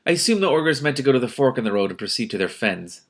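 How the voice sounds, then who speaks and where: A man reading a novel aloud as host, with casual, exasperated asides in an expressive manner. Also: medium pitch, quick pace. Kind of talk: audiobook-like reading with casual reactions.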